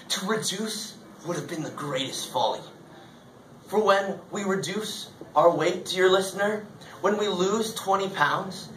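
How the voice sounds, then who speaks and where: In a roomy space, a little off the mic, a man performs a hugely fat, self-important man philosophizing about himself in a comic stage monologue. His voice is bright and forceful, declaiming every thought with mock solemnity. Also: sits high. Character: hugely obese, grandiose, philosophizing man confessing his gluttony. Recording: roomy, distant mic, faint noise.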